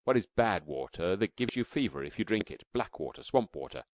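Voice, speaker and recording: A man voicing a fond, excited cave-man father who explains patiently. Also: sits low, a little fast, no echo.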